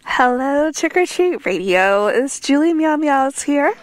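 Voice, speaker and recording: A woman's voice: sits high, lively intonation, measured pace. A woman with a head cold and a stuffed-up voice, leaving a rambling, giggly voicemail full of fillers. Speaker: voicemail caller. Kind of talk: casual voicemail message.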